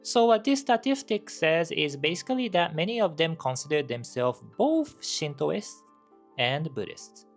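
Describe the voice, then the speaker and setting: A man's voice: a little high, very animated, unhurried, faint music behind. A Japanese man with a Japanese accent, a campus minister giving a scripted explainer talk with rhetorical questions and asides.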